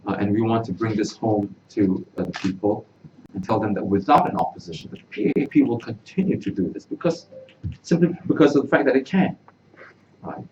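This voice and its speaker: A man speaking earnestly at a press conference, halting and repeating words as he makes his case. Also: fairly low pitch, a little mellow. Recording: distant mic, dull, noisy room.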